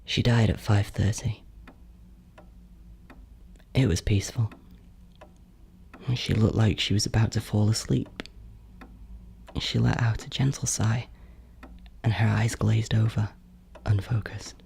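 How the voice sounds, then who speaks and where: Bitter sibling ghost , played by an actor for horror audio drama: hushed, mellow and creaky, brooding, yearning. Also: mid-range voice, flat delivery, slight background noise. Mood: grieving, hushed. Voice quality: breathy, often near whisper, creaky. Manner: slow, halting, brooding.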